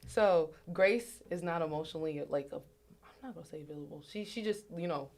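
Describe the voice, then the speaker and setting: A woman's voice: low voice, brisk, a little mellow. A young woman co-hosting a casual radio chat, talking earnestly and reflectively about friendship with some self-deprecating humor. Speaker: podcast co-host.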